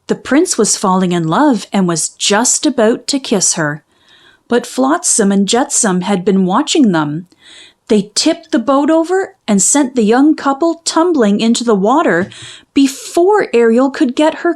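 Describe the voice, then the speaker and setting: A woman narrating a children's storybook in an expressive, measured storytelling manner. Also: on the high side. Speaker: children's book read-aloud narrator.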